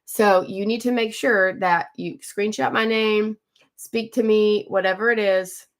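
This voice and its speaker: A woman's voice: mid-range voice, steady tone, measured pace. Chatty woman in her forties, live-streaming. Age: 40s. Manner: chatty, greets viewers by name mid-sentence; frequent throat clearing. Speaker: livestream host. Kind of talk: casual live-stream chat with viewers. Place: home.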